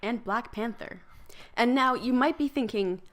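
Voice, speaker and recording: A woman's voice: on the high side, unhurried. A young woman with a lisp co-hosting a podcast, making dry, teasing jokes in casual chat. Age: young adult. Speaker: podcast co-host.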